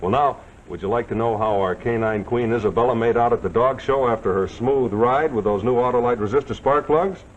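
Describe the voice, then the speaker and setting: An American man working as announcer and pitchman, with a folksy, jovial late-1940s television sponsor delivery. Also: fairly quick, hardly any pauses. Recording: dull, noisy old 1940s soundtrack.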